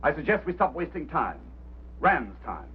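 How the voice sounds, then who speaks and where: An actor playing a brusque, impatient, skeptical mining company boss, commanding and dismissive, in mid-century film drama style. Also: male voice, a little high, very animated, moderate pace, lo-fi sound. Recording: thin, noisy old film soundtrack.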